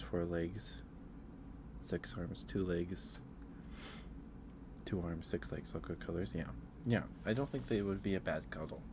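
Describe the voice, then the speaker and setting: Male ASMR streamer, deep-voiced and slow, rambling informally, with clearly audible ambient noise behind him. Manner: hesitant, rambling, repeats phrases. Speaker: livestream host. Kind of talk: casual ASMR livestream chat.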